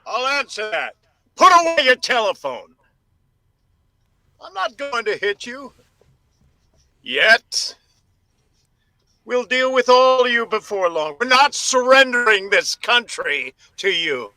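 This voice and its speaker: A man's voice: extremely high pitch, sing-song, slow, long pauses, pushed and bright, no room sound, full character voice, poor audio. A man acting a menacing patriotic regime loyalist, declaiming and threatening his opponents. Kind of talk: dramatic oration.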